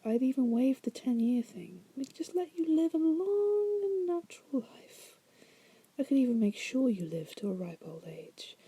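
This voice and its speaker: Woman playing a dark, breathy, whispery demoness. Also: sits high, dry recording, heavily acted. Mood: seductive, coaxing. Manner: teasing, musing, drawling asides with soft chuckles. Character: wry, seductive female demon prisoner, patient deal-maker. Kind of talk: ASMR roleplay.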